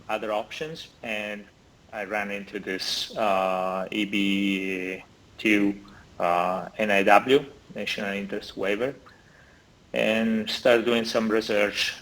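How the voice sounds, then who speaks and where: A man's voice: lowish voice, fairly bright tone, low-quality recording. A man in his forties from Italy with an Italian accent, chatting as an interview guest over a video call, hesitant with many fillers and restarts. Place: indoor room. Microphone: laptop or phone camera on video call.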